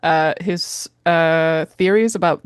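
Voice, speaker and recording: A woman's voice: deep voice, fairly even intonation, takes it slowly, smooth, no echo, clean studio sound. A woman co-hosting a podcast, chatting casually in English as she runs a quiz, teasing and informal. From Norway. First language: Norwegian. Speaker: podcast co-host.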